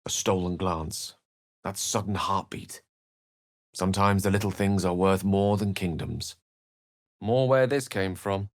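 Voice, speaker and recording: A man's voice: sits low, a little slow, no echo, clean studio sound. A man playing an eloquent, witty and self-assured wizard in a video game, with articulate, florid, wry delivery. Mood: tender. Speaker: game character voice actor. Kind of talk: theatrical video game character dialogue.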